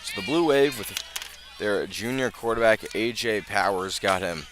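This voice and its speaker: An American man doing live play-by-play radio commentary of a high school football game. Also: a little slow, noisy background. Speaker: play-by-play announcer.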